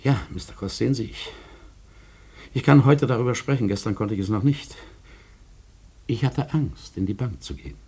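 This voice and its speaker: An actor playing a courteous, haunted returned emigrant in a German radio drama, speaking deliberately and theatrically. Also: male voice, medium pitch, expressive, mellow, slightly rough. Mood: confessional, uneasy.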